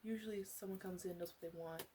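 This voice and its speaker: A woman playing a sly, flirty witch who runs a potion shop, in a soft-spoken ASMR roleplay with whispers and halting, teasing asides. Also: fairly low pitch, steady tone, slight background noise, natural voice. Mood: chatty, wry.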